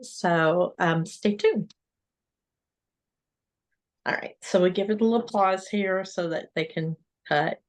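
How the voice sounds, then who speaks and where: A woman hosting an interview podcast, asking gentle, encouraging questions. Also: lowish voice, takes it slowly, pauses often. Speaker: host.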